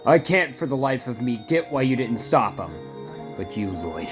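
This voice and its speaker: A woman's voice: a bass voice, big pitch swings, normal speed, pitched below the performer's own voice. A woman voicing a bitter, guilt-ridden, foul-mouthed male war veteran in a game fan dub, intense and scolding. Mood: stern anger. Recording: music behind the voice.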